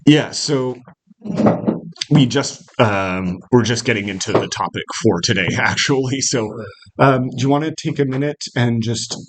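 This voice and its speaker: A man teaching a small literature seminar, talking hesitantly with lots of fillers and checking in with "right?", his voice a little rough from coming down with something. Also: mid-range voice, normal speed. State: slightly unwell, voice cracking. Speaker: literature instructor. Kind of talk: casual seminar lecture. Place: small classroom.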